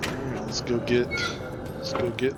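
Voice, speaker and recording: Loud game music runs behind a man with a mid-pitched voice. He gives casual commentary on his playthrough, muttering and thinking aloud to himself. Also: little pitch movement, moderate pace. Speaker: gamer doing a playthrough.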